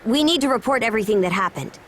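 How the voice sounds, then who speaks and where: A woman's voice: fairly high pitch, expressive, fast, fairly bright tone, slight background noise. An actress playing a feisty young woman adventurer in a video game, blunt and informal in the way she talks. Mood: brisk, blunt. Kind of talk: scripted video game acting.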